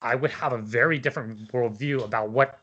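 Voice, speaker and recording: A man's voice: mid-range voice, a little fast, a bit bright, lo-fi sound. A man in casual conversational debate, thinking aloud in a discursive, hesitant way and often checking for agreement. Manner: hesitant, discursive, seeks agreement with tag questions.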